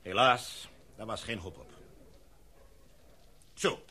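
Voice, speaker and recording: A man's voice: lowish voice, slow, bright, forceful tone, breathy edge, heavily acted. An actor playing a commanding, decisive male expedition leader in a radio play, addressing a meeting in a formal, speech-like way. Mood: measured.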